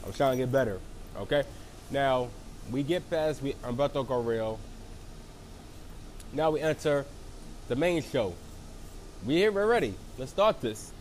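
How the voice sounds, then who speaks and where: A man's voice: medium pitch, expressive, a little slow, long pauses, darkish tone, slight background noise. A man with a cold and a froggy voice, rambling through a casual solo recap as a wrestling show reviewer. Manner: rambling, lots of fillers and restarts.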